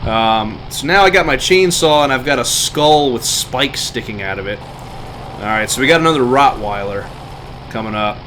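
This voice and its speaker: A man's voice: medium pitch, lively intonation, normal speed, a bit bright. A man giving casual live commentary as he streams a game. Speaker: game livestreamer. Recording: game engine noise behind voice. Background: game vehicle sounds.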